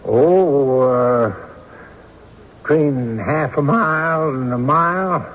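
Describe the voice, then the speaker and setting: A man playing an evasive, defensive rustic witness in a radio courtroom drama, with a folksy, halting, stammering delivery. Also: mid-range voice, close to an everyday voice. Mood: hedging, uneasy. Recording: dull, noisy old radio recording.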